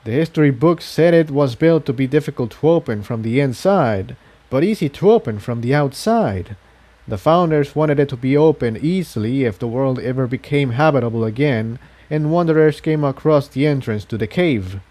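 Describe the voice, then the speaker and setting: A man plays a male unicorn pony in a storybook adventure. He is solemn and earnest, explaining things in a mellow voice, burdened by guilt. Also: mid-range voice, expressive, moderate pace, close to an everyday voice.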